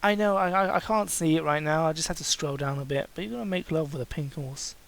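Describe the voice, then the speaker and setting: High-pitched, bright-voiced man reading with sarcastic asides. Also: quick pace, gravelly. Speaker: reader commenting on fan fiction. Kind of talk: dramatic reading with mocking asides.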